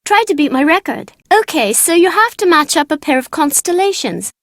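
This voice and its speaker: Game child role: smug, moody young girl, high voice, very lively swoops, dry studio sound. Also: normal speed, no room sound, heavily acted. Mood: challenging. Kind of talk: scripted video game dialogue.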